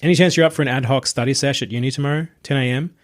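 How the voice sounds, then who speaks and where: A man's voice: mid-range voice, steady tone, very fast, voice pushed up, natural voice. Man voicing an eager young male student. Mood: eager, coaxing. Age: young adult. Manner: keen, coaxing, playful. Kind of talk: audiobook character voice.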